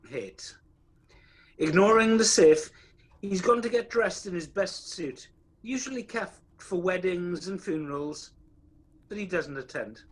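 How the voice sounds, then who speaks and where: A man's voice: fairly high pitch, poor audio. A man playing the wry, theatrical narrator of a radio play, addressing the listener directly. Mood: wry, incredulous. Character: wry storyteller narrator of a Christmas tale, theatrical.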